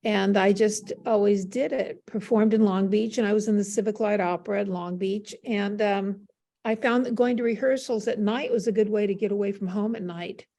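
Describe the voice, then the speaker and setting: A woman's voice: medium pitch, fairly even intonation, moderate pace, mellow. Older Californian woman reminiscing. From Southern California. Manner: candid, anecdotal. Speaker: interview guest, former performer. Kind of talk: conversational reminiscing. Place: online video call.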